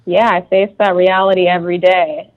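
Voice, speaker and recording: A woman's voice: on the low side, little pitch movement, moderate pace. A woman as a guest on a podcast, chatting casually in a reflective way. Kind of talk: casual chat. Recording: dull, slightly lo-fi audio.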